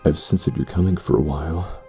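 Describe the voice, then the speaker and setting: A man voicing an ominous, brooding ritualist in a fantasy audio drama, with a gravelly, husky voice and a solemn, portentous manner. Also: extremely low pitch, brisk, a little mellow, soft background music. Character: ominous brooding male ritualist addressing his estranged sister.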